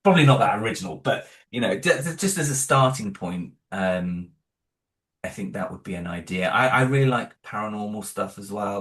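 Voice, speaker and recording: A man with a lowish voice talks through his plans in a loose, halting way, circling back and restarting his sentences. He is heard in a noticeably echoey room. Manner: hesitant, many restarts and fillers. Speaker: guest, horror audio drama creator. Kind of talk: casual interview answers.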